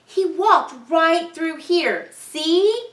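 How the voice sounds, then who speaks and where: An excitable little boy from a storybook, as a woman reads him out, pitched high and swooping. He insists loudly, dragging out his words, and the sound is echoey and distant. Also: very slow, exaggerated voice. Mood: eager. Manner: shouting, insistent, stretched-out words.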